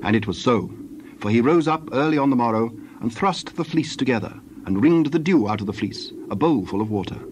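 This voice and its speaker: A man narrating scripture from a script in a measured, formal manner. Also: medium pitch, lively intonation, background noise.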